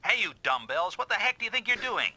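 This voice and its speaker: An actor voicing a gruff, commanding male team leader in an anime-style video game, scolding subordinates with authority. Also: sits high, sing-song, fast, very noisy. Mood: scolding, urgent.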